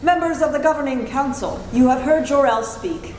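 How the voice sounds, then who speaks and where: A woman's voice: high voice, moderate pace, darkish tone, close to an everyday voice. A woman acting a haughty, mocking leader of an alien council, formal and imperious in old-time radio drama style. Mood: stern, official. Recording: echoey room, clear background noise.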